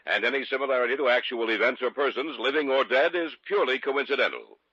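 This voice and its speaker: A man's voice: medium pitch, fast, pushed and bright, muffled recording. A man giving a formal closing announcement for an old radio show. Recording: echoey old radio broadcast over music.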